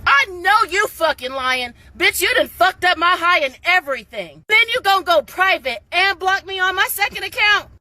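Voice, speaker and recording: A loud, indignant woman in a casual, angry rant full of swearing. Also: very high voice, lively intonation, moderate pace, few pauses.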